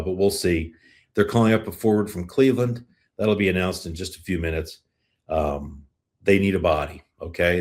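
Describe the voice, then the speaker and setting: Male sports podcast host, low register, casual commentary delivered in short, punchy, conversational statements.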